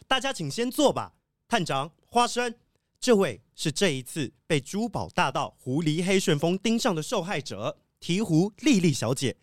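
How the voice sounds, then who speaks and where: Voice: medium pitch, lightly acted. An actor playing an earnest wolfdog police chief in a children's audio drama, dutiful, formal and urgent.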